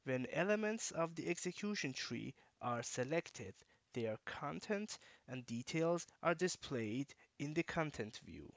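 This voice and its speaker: A man giving scripted, measured narration for a software screencast tutorial, explaining features step by step. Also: a little high, animated, no echo. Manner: measured, instructional.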